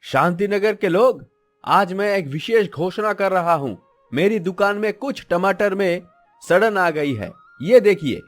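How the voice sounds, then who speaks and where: A man playing an honest, principled young vegetable seller, speaking in a measured, earnest, moralizing way in a cartoon. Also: high-pitched, animated, faint music behind. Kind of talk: Hindi moral-story cartoon acting.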